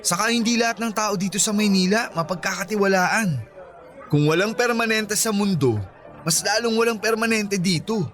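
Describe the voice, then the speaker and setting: A man's voice: high voice, moderate pace, a bit bright. Ronnie, cocky lovestruck young man. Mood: pleading, teasing. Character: Ronnie, teasing, cocky, lovestruck young male childhood friend. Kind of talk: radio romance drama acting. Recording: background music and crowd chatter.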